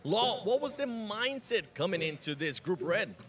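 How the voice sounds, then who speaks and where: A man's voice: high voice, very animated, normal speed, fairly bright tone, slight background noise. A man interviewing players at an esports event in an upbeat presenting manner.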